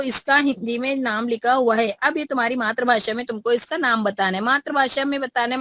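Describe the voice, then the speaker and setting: A woman's voice: a little high, fairly bright tone. A woman teaching an online class to schoolchildren over a video call, coaxing them and repeating her prompts, with an Indian accent.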